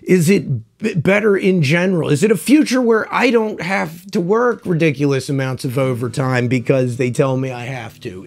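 A man in his forties with a stuffed-up, congested voice, slightly high and gravelly with fry. He talks in a loose, folksy, rambling way, full of restarts, into a lapel mic in his home music room. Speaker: guitar reviewer. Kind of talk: casual product review chat.

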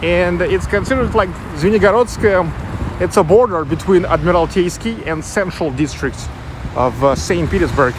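A man's voice: high voice, moderate pace. A man in his thirties with a Russian accent, guiding a live walking tour outdoors. He speaks English as a second language, loosely, hunting for words and correcting himself as he goes. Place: busy city street outdoors. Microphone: handheld selfie camera mic. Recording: outdoor street with loud traffic noise.

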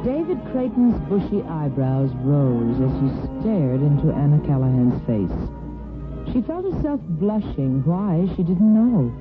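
A woman's voice: low-pitched, very animated, a little slow. Woman narrating over music on tinny old radio. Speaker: narrator and host. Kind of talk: dramatic soap opera narration.

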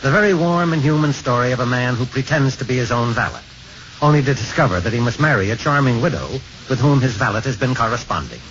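A male radio host speaks at a middle pitch in scripted 1950s presenting style. The vintage recording is muffled, with faint noise. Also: expressive, quick pace, a bit bright.

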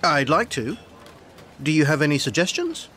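A man reading a scripted coursebook dialogue as a visiting journalist, clear and friendly. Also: fairly high pitch, wildly swooping pitch, normal speed, fairly bright tone, a little hiss or hum. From England.

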